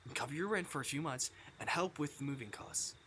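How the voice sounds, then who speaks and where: A man's voice: a little high, brisk, bright, forceful tone, slight background noise. A man voicing an anxious young male convenience store clerk in a visual novel, earnest, hesitant and pleading.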